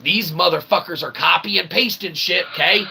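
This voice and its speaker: A man's voice: high-pitched, moderate pace, creaky, pushed and bright, slightly rough. A man delivering a casual, indignant rant to viewers as an independent author and host, emphatic and sweary, with wordy mock-formal phrasing and repeated tag questions. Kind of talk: casual ranting monologue to viewers.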